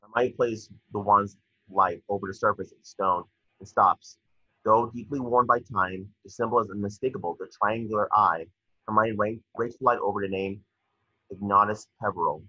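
A man reads stage directions in a measured, slightly stumbling way. His voice is low, raspy and forceful, captured dry through dull, lo-fi video call audio. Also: steady tone, no room sound. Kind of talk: scripted narration at a table read.

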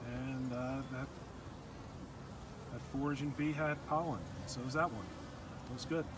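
A man with a middle-pitched voice talks calmly and matter-of-factly as he walks past his beehives, describing what he sees. It's a handheld phone recording made outdoors, with clear steady background noise. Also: a little slow. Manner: calm, matter-of-fact commentary. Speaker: beekeeper. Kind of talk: casual narration while filming. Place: outdoors among beehives in snowy yard. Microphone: handheld phone camera.

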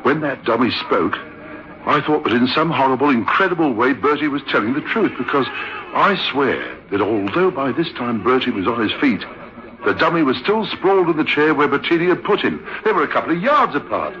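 An actor playing a rattled, sceptical theatre manager in a radio drama, emphatic and repeating himself in agitation. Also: male voice, medium pitch, sing-song, fairly quick, close to an everyday voice. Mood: shaken, bewildered. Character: rattled, sceptical theatre manager telling his uncanny tale. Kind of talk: radio drama acting and first-person storytelling. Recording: muffled old radio recording with music.

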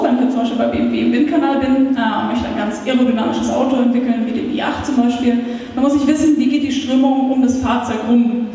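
A high-pitched woman with a light lilt speaks into a handheld microphone on a meetup stage. She talks in a lively, chatty way, full of little filler sounds. The recording is taken from across a large hall, so her voice rings with heavy echo and a long tail. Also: a little hiss or hum. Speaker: startup founder giving a talk. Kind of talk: conference talk. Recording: echoey hall, taped from far off.

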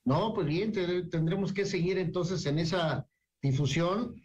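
A man hosting a radio interview, speaking in a measured, reflective way with some repeated words. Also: high voice, a little mellow, husky, dull audio. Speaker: radio talk show host.

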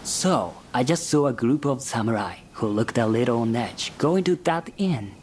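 An actor playing a wry, indifferent mercenary informant in a video game, talking in an offhand, conspiratorial way. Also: male voice, mid-range voice, animated, normal speed, a little mellow, slight background noise, close to an everyday voice. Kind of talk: video game cutscene acting.

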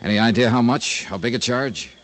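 An actor playing a tough, laconic American investigator who narrates and acts in an old radio drama, clipped and wry, with an American accent. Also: male voice, medium pitch, fairly quick, natural voice. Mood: terse, probing. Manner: clipped, wry, hard-boiled. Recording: noisy old radio broadcast.

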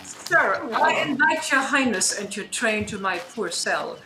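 A woman playing a commanding, grave, aging sorceress in a Shakespearean radio play, speaking measured, declamatory verse. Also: fairly high pitch, lively intonation, heavy background noise. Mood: gracious. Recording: roomy online recording.

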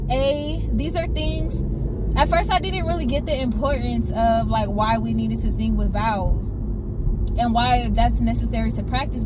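A woman in her thirties, vlogging casually from a parked car into a phone camera on the dashboard, chatty and upbeat, repeating phrases for emphasis. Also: on the high side. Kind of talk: casual vlog chat. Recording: clear car and street noise. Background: car and street noise.

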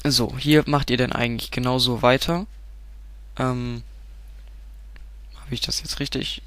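A man's voice: medium pitch, steady tone, a little slow, fairly bright tone. A man with a stuffy cold nose, giving a casual game tutorial, rambling and often correcting himself. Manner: rambling, self-correcting, frequent filler sounds.

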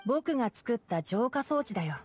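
A woman voicing a calm, scholarly young male fox-eared forest ranger in a Japanese anime game, speaking in a measured, explanatory way. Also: fairly high pitch, husky, faint music behind. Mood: composed, focused.

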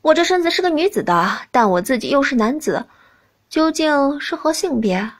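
A woman's voice: high voice, very animated, moderate pace. A woman voicing a smug, languid young prince in a princess's body for an audiobook, drawling and teasing. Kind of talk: audiobook character dialogue.

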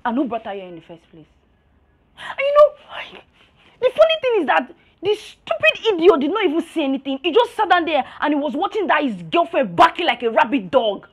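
An actress playing a blunt, exasperated friend with a Nigerian accent, chiding in a screen drama. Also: female voice, medium pitch, very fast, hardly any pauses, warm tone, close to an everyday voice. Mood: indignant.

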